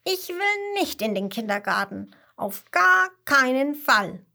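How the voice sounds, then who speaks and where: A little koala boy from a children's storybook, acted out by a woman. The voice is very high and swings widely in pitch. He is eager, headstrong and emphatic, with a childlike excitability. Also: slow, gravelly, full character voice. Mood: stubborn, defiant.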